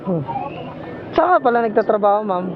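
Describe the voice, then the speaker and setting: A man's voice: sits high, animated, normal speed, narrow, tinny audio, over music. A Filipino man chatting casually as a motorcycle taxi rider, playful and teasing with his passenger, talking through a helmet intercom outdoors. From Philippines. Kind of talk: casual bantering chat with a passenger. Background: vehicle and street noise.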